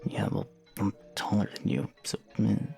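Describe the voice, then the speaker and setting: A man playing a guarded young swordsman in an audio drama, serious and awkward, muttering and hesitant, with a gravelly, husky voice. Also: on the low side, fairly even intonation, measured pace, a little mellow, soft background music. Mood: muttering, defiant. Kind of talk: audio drama character dialogue.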